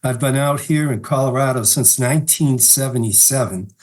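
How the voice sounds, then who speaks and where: A man's voice: mid-range voice, normal speed, darkish tone. Older guy w/ boston accent, modest guest, laughs a lot. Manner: modest, self-deprecating, laughs often. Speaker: guest author. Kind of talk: casual interview.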